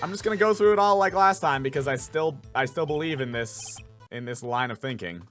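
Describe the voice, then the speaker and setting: A man hosting a game playthrough, thinking aloud in an animated way and repeating himself as he reads and comments. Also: fairly high pitch, fairly quick, over music. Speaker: voice actor host of a game playthrough. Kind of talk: casual commentary and reading game dialogue aloud.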